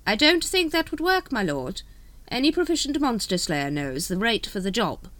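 A prim, deferential aide played by a woman narrator, pitched well up and very lilting. The audiobook delivery is precise and servile, recorded dry. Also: big pitch swings, normal speed, fairly bright tone, no room sound. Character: deferential male aide to a lord, primly informative. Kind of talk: audiobook character voice.

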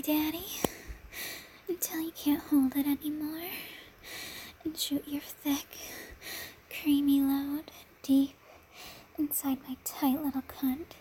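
A woman delivering an intimate adult roleplay monologue straight to the listener, drifting between breathy whispers and soft, sultry murmurs. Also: high voice.

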